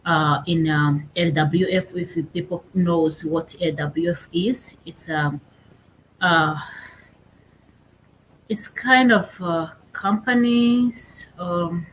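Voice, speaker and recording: A young woman with a Rwandan accent, a guest on a casual interview, pausing often and searching for her words. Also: on the low side, a little hiss or hum, poor audio. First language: Kinyarwanda. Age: young adult. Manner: halting, searching for words with many fillers.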